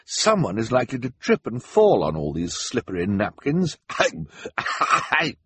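A man voicing a stuffy, disapproving middle-aged gentleman who scolds children in a fussy, reproachful way. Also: medium pitch, wildly swooping pitch, unhurried, breathy edge, dry recording, voice pushed up, heavily acted. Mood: disturbed, scolding.

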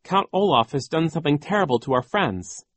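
A man's voice: a little high, wildly swooping pitch, moderate pace, slightly rough, no echo, voice pushed up, full character voice. A man voicing an earnest, exasperated storybook orphan boy, urgent and indignant. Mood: urgent, alarmed.

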